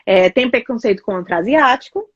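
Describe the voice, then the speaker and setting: Brazilian woman guest, higher voice, animated casual talker, muffled dry call recording. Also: moderate pace, no room sound. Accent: Brazilian Portuguese. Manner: chatty, animated storytelling with many fillers. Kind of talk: casual chat.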